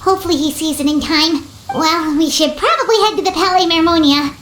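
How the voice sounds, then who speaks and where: A woman voicing a tiny excitable fairy companion who talks about herself in the third person, with a high, squeaky, childlike voice. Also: a little fast, slight background noise. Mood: uneasy. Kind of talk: game cutscene dialogue. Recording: roomy game mix.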